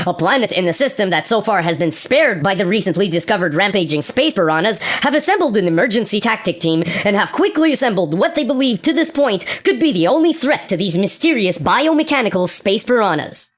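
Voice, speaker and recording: A woman playing a blunt, wry starship captain and anchor in an amateur sci-fi comedy radio play. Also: mid-range voice. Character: exasperated, sweary starship captain and news anchor.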